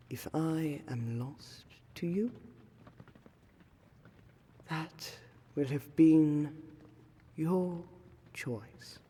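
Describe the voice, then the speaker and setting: A woman playing an aristocratic vampire scholar in a fantasy audio roleplay, speaking formally and deliberately with weighty pauses. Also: sits low, dark tone, slightly rough, full character voice. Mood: grave, restrained. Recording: long hall-like reverb under prominent music.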